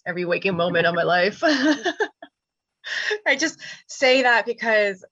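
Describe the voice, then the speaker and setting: A young woman whose first language is Spanish, reflecting candidly and casually in a group discussion, thoughtful and halting, with easy laughter. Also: mid-range voice, very animated, bright, forceful tone, breathy edge, dry recording, muffled recording. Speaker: panel guest.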